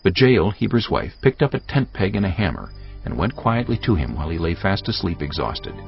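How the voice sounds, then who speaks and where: A man with a deep voice narrates scripture as scripted drama. His pitch moves expressively through the story, and soft music sits behind him. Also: very animated, fairly quick, gravelly, highs cut off.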